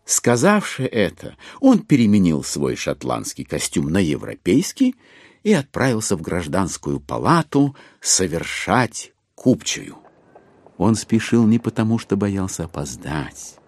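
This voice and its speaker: A man narrating a literary audio drama as a storyteller, with measured, expressive phrasing. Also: deep voice, dry recording, studio quality.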